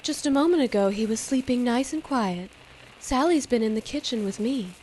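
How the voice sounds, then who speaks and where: A woman's voice: fairly high pitch, expressive, moderate pace, darkish tone, a little hiss or hum, lightly acted, rough recording. A woman playing an anxious young mother in an old-time radio drama. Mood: worried, gentle.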